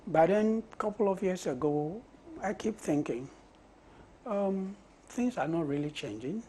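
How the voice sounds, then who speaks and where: A Ghanaian man with a husky voice and Ga as his mother tongue, answering interview questions in deliberate short phrases. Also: sits high, lively intonation, mellow, a little hiss or hum. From Osu, Accra, Ghana. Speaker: parliamentary candidate being interviewed. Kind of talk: conversational political interview.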